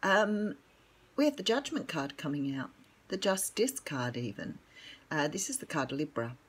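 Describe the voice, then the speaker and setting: An older Australian woman with a croaky morning voice, chatting casually and rambling through a tarot reading at home with lots of ums. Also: low-pitched, sing-song, measured pace. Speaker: tarot reader.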